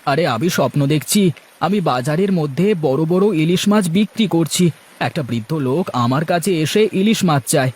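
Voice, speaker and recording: A man's voice: fairly high pitch, animated, brisk, breathy edge. Man voicing an anxious village fisherman, cartoon style. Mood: troubled. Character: anxious, good-natured village fisherman husband. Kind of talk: Bengali moral-story cartoon voice acting. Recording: music behind the voice.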